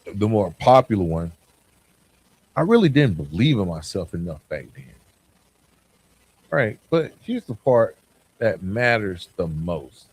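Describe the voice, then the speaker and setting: A man hosting a motivational self-improvement podcast, speaking conversationally and stressing his points with deliberate, repeated phrasing. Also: on the low side, big pitch swings, slightly rough, poor audio. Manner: conversational, informal, with "right?" check-ins. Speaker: podcast host.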